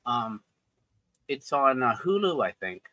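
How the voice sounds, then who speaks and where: A man's voice: medium pitch, big pitch swings, a little slow, pushed and bright, no echo, dull audio. A man chatting casually with friends, dropping short, matter-of-fact remarks. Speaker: friend in chat. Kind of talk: casual chat.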